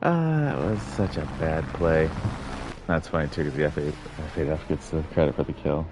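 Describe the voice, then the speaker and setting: A man's voice: rumbling low, moderate pace, background noise. A man streaming a video game, rambling through casual, self-deprecating commentary as he plays.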